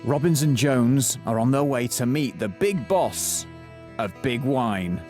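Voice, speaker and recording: A man's voice: mid-range voice, lively intonation, takes it slowly, music in the background. A man delivering scripted narration for a comic audio drama.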